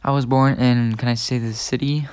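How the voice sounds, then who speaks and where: A young man from Spain answering interview questions in a casual, joking way, often pausing with fillers. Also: mid-range voice, flat delivery, a little mellow, smooth. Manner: laid-back, joking, hesitant with fillers. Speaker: guest interviewee. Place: indoors at a family home.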